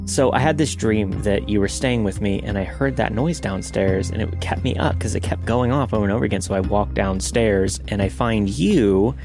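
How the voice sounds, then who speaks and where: A man hosting a podcast, telling anecdotes casually in an animated, conversational way. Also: on the low side, normal speed, few pauses, over music. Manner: animated storytelling with mimicked sounds. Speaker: podcast host.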